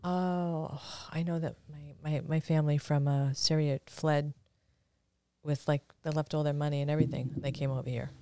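A woman with a smooth, clear voice talks casually as a podcast guest. She is animated and often interjects, and the sound is close and dry. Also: deep voice, normal speed, no echo. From Brooklyn, New York.

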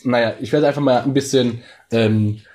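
A man's voice: mid-range voice, fairly even intonation, moderate pace, warm tone. A man in a casual discussion, explaining his points as a co-host and searching for his words. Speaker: co-host of a discussion about a TV series.

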